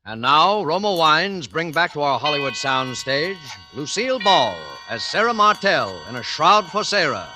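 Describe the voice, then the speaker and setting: A man's voice: fairly high pitch, big pitch swings, normal speed, hardly any pauses. A man announcing a program in a scripted, dramatic 1940s radio style. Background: music.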